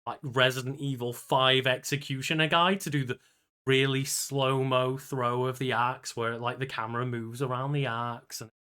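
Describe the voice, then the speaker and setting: Bright-voiced man in casual podcast chat. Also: medium pitch, little pitch movement, moderate pace, smooth. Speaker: podcast co-host.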